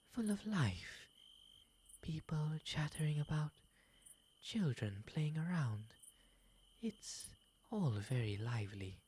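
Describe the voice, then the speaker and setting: A woman voicing a gentle, refined male owl demon in an ASMR roleplay, softly and breathily, mostly whispering, with formal, courteous phrasing. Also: a bass voice, slight background noise, heavily acted. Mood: wistful, calm.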